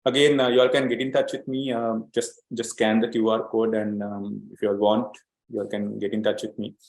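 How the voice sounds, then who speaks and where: A man's voice: fairly low pitch, little pitch movement, a little fast, a little mellow, roomy sound, rough recording. A man from Sri Lanka presenting an online training webinar as an agile coach, explaining steadily with many fillers and repeated words.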